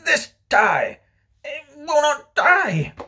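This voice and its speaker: A man's voice: sits high, sing-song, a little fast, husky, voice pushed up, full character voice. A man voicing a pompous, self-pitying middle-aged father in a storybook, melodramatic, stern and sarcastic. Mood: yelling, frantic. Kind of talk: storybook character voice.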